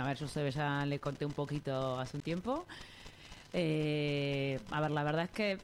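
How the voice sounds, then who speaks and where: Low-voiced Argentine woman chatting. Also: slight background noise. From Buenos Aires province, Argentina. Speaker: interview guest. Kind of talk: casual conversational storytelling.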